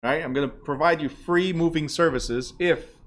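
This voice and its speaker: A man's voice: a little high, moderate pace. A middle-aged man, the director of a real estate school, lecturing a live class in a casual, chatty way and checking in with "right?". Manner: casual, chatty, asks the class questions and says "right?" a lot.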